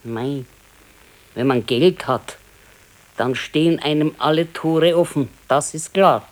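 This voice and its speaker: An actress playing a practical, contented older wife in a naturalistic radio play, speaking matter-of-factly with a Bavarian accent. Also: female voice, low voice, slow pace, darkish tone. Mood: resigned contentment. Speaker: radio drama actor. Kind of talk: naturalistic 1970s radio play dialogue in dialect.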